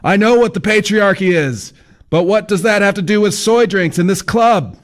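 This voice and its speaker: A man's voice: high voice, normal speed, voice pushed up, natural voice. A man voicing a bewildered young male aspiring writer who questions everything in an exasperated way.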